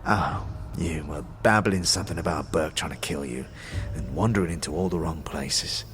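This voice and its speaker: An actor playing a talking skull, a dead anarchist who is bitter, conspiratorial and speaks in streetwise slang, in a fantasy audio drama. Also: male voice, extremely low pitch, normal speed, over music. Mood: taunting. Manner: streetwise slang, scornful, guarded.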